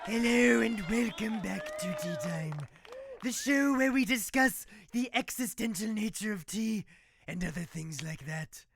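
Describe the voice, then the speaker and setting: A man's voice: high voice, expressive, unhurried, creaky, a crowd in the background, noisy background, exaggerated voice. A man playing a pompous, fussy talk show host in a comedic audio drama, speaking in a mannered way. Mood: self-important.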